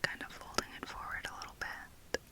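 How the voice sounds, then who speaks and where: Whispering woman in an ASMR role play. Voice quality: breathy whisper. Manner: soft, unhurried, murmuring in short pieces with pauses. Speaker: ASMR performer.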